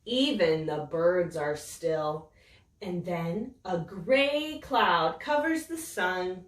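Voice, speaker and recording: Woman, children's storytime reader; slow, very animated sing-song delivery, slightly distant mic. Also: on the low side. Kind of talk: reading a picture book aloud to children. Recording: roomy echo, voice set back from the mic.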